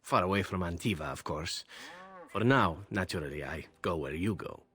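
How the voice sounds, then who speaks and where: Suave, low-voiced roguish assassin. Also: male voice, expressive, normal speed, fairly bright tone. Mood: playful, evasive. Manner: theatrical, teasing, flowery phrasing. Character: roguish, suave foreign assassin man, playful and sly. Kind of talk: video game character dialogue.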